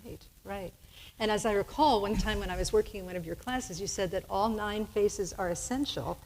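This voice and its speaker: A woman's voice: mid-range voice, normal speed, darkish tone. Chatty woman minister in a reverberant sanctuary. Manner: chatty, laughing easily. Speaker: minister hosting a church service. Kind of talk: casual on-stage interview. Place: church sanctuary with balcony. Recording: hall reverb from a large sanctuary.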